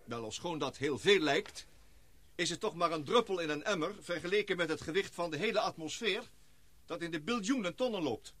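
An actor playing a formal male expedition leader and scientist who speaks in measured, speechmaking tones in a radio play. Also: high-pitched, fairly bright tone, dry recording. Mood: explaining.

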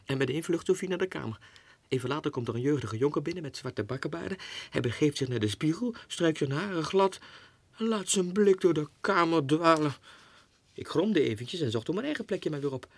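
A man's voice: a little high, lively intonation, brisk, no echo, voice pushed up. A man voicing a pampered, gossipy female lapdog's letters in an affected, dramatic way for a 1960s radio drama.

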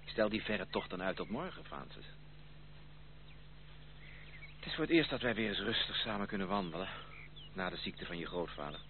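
A man's voice: deep voice, lively intonation, fast talker. An affectionate suitor in a period radio play. He coaxes earnestly with a very varied intonation. The sound is narrow and old, with slight hiss. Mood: coaxing. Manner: coaxing, earnest. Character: persistent, affectionate male cousin courting a stubborn woman. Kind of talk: period radio drama acting.

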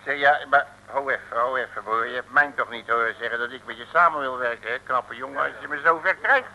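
An actor playing a cheeky, unimpressed detective in a comic radio serial, talking in grumbling, irreverent slang. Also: male voice, mid-range voice, fast talker, creaky, bright, forceful tone. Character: cheeky, unimpressed wisecracking Dutch private detective. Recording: noisy old radio recording.

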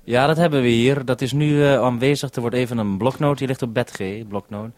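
A man working as a radio presenter, holding a two-way radio conversation in a measured, matter-of-fact, slightly hesitant way. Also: mid-range voice, fairly even intonation, a little mellow, dry recording. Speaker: radio presenter and contact operator. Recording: 1971 radio broadcast.

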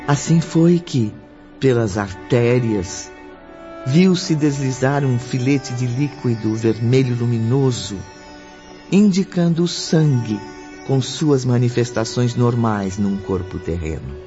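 Homem como narrador brasileiro de radionovela, bem pausado. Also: male voice, medium pitch, natural voice. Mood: solemn, dramatic. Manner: dramatic, deliberate. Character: solemn storyteller addressing the radio listener. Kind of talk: radio drama scripted narration. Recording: dull lo-fi audio under music.

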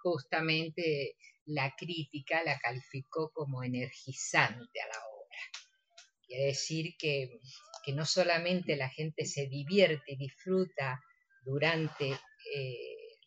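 Very deep, husky woman's voice. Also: muffled recording. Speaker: actress, programme guest. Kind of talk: conversational radio interview.

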